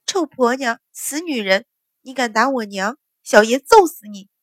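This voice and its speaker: Lady doing a scrappy 8 yr old boy, yelling, higher voice, dry. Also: lively intonation, moderate pace, dry recording. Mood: angry. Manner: shouting, defiant. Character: fierce eight-year-old boy.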